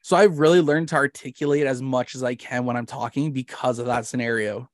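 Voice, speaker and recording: Man, Canadian accent, podcast host, casual chat, rambling, self-deprecating, medium pitch, very dry sound. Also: steady tone, brisk, no echo.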